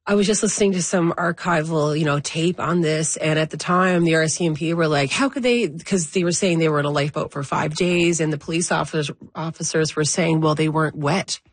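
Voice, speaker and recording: A woman's voice: low-pitched, brisk, few pauses, no room sound. A woman hosting an interview, chatting casually and leaning on fillers as she asks questions. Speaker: interview host. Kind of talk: conversational interview.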